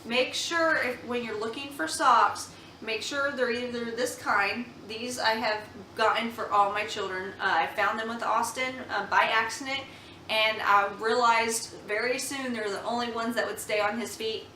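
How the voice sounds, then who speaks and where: A woman's voice: on the high side, fairly even intonation, normal speed, sharp tone, slight background noise. A young woman, a mother, chatting earnestly at home about baby products, with many ums and restarts. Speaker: mom vlogger reviewing baby products. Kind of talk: casual product review chat. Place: home room. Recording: echoey room, slightly far from mic.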